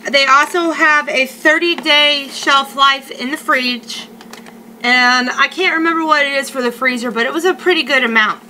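Bright, high-pitched woman vlogger. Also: moderate pace, rough recording. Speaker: food reviewer, vlogger. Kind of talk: casual unboxing review to camera. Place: living room at home. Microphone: webcam or laptop mic at arm's length. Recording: faint noise, home webcam sound.

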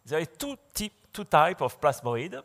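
A man lecturing in English, which is not his first language, in a large dark hall. He speaks through a podium mic, with many fillers and restarts. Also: on the high side, sing-song, unhurried. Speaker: conference speaker. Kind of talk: slide lecture.

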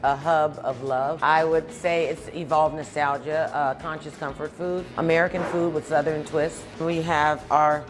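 A woman in her forties, a chef and restaurant owner, talking about her food in a relaxed interview. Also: sits low, a little slow, hardly any pauses. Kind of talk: interview soundbites. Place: restaurant dining room. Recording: music bed under voice.

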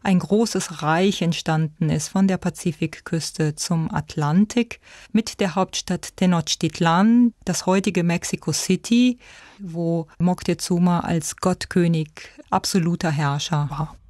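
A woman's voice: lowish voice, steady tone, measured pace, darkish tone, dry recording, clean studio sound. A woman speaking as an expert, explaining things in unscripted interview style. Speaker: expert commentator.

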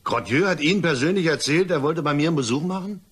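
A man acting in a German crime radio play as an evasive, rattled French gentleman, husky, stammering and hedging politely. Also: fairly high pitch, animated, quick pace. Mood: flustered.

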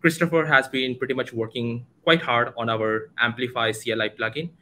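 A young man with an Indian accent hosts a livestreamed meetup in a casual, informal way. His voice is bright and forward. He is on a headset at home, with some faint noise behind him. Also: medium pitch, fairly even intonation, brisk. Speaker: host of a community meetup. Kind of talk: casual livestream event introduction. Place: home room, indoors, video call.

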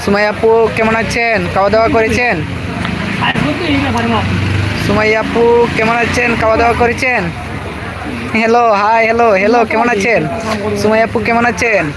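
A man's voice: very high-pitched, level tone, a little fast, pauses often. A man with a Bangladeshi accent hosting a casual livestream outdoors in a busy market area, speaking to commenters directly and repeating himself. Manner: repetitive, addressing commenters directly. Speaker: livestream host. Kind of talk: casual livestream chat with viewers. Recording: busy street crowd and traffic noise. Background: crowd chatter and vehicles.

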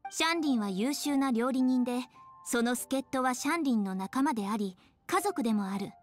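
High, serious young official. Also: female voice, animated, moderate pace, soft background music. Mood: composed explanation. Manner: matter-of-fact, explanatory. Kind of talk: Japanese anime game voice acting.

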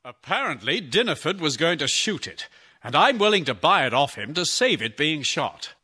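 A man's voice: fairly high pitch, sing-song, normal speed, bright, forceful tone, breathy edge, full character voice. An actor playing a practical, courteous middle-aged father in a children's audio drama. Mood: businesslike.

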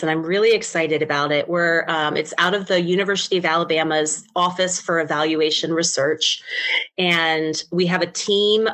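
Female, American, middle-aged, lower register; researcher guest giving explanatory interview answers. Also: a bit bright. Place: indoors, on a video call. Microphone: video call.

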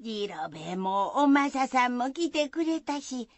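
A woman's voice: on the high side, sing-song, takes it slowly, dry recording. An actress playing a chatty, curious village woman in a radio folktale, with folksy country speech. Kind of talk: radio drama acting.

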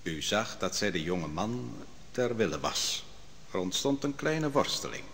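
Brief: sharp cross-examiner, lively intonation, long reverb, vintage radio play. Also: male voice, fairly low pitch, a little hiss or hum, exaggerated voice. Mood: probing. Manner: probing, measured. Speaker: interrogator in court. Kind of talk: vintage radio drama acting.

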